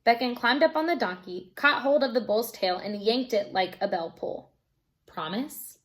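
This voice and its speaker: A woman teacher reading a children's storybook aloud in an expressive narrating style. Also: fairly high pitch, moderate pace.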